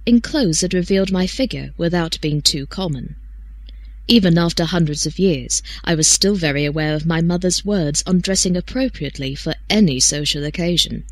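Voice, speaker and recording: A woman's voice: lowish voice, no echo. A woman reading first-person fiction as an audiobook storyteller.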